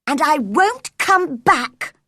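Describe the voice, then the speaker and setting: A woman's voice: high voice, lively intonation, slow, no echo, studio quality, pitched above the performer's own voice, exaggerated voice. A woman voicing a cross, bossy little girl in a storybook, blunt and scolding. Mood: defiant. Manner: sharp, scolding, emphatic.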